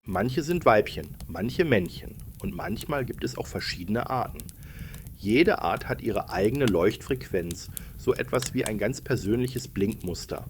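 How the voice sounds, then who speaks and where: A man's voice: lowish voice, animated, a little slow, a little hiss or hum. A man narrating a scripted bedtime story for children, explaining things and addressing them directly.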